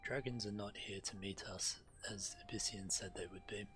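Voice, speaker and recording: A man streaming a game, reading quest text aloud in a measured way, now and then stumbling and correcting himself. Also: lowish voice, steady tone, fairly bright tone. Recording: game music behind the voice. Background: video game music.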